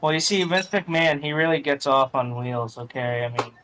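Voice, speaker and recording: A man's voice: medium pitch, normal speed, noisy background. A man co-hosting a podcast with blunt, combative, profane banter. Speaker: podcast co-host.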